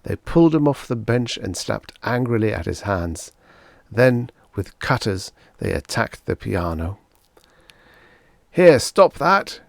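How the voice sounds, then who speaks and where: Male narrator, scripted fiction reading, low voice, gravelly fry, very dry sound. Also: unhurried, a little mellow, dry recording. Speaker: audiobook narrator.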